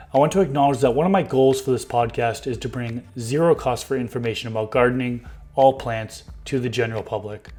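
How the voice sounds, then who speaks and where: A man in his thirties hosting a gardening podcast from a home room on a boom-arm studio mic, his voice hoarse and a bit raspy, reading sponsor spots in an upbeat way. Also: a little mellow. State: hoarse, slightly raspy from smoke. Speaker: podcast host. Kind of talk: casual podcast chat and sponsor reads.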